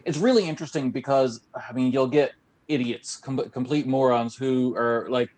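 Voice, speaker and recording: A man's voice: medium pitch, normal speed, darkish tone. A man from Newfoundland hosting a casual interview, rambling with many restarts and fillers. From Newfoundland, Canada. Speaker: podcast host.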